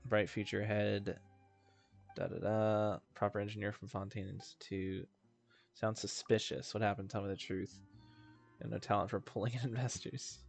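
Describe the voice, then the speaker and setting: Low-voiced man casually commentating a video game. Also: flat delivery, moderate pace, gravelly. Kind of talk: casual gaming commentary, reading dialogue aloud. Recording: background game music.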